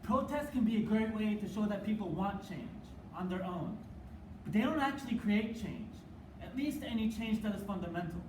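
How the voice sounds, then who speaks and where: A young woman delivers a rehearsed dramatic stage speech in a medium-pitched voice. She performs as one of a student readers theater group. The sound is echoey and noisy, captured from across the room. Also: animated, moderate pace, recorded from a distance. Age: young adult. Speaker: readers theater performer on a college forensics team.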